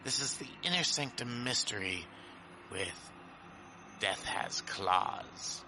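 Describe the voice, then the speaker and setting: A man hosting a horror radio show with spooky scripted narration, theatrical and drawn-out. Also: medium pitch, expressive, background noise.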